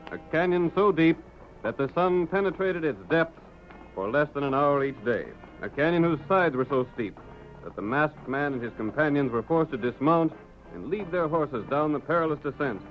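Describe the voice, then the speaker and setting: A man narrating a 1930s radio serial in a theatrical, suspenseful and formal manner. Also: fairly high pitch. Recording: muffled 1930s radio with music behind. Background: dramatic music.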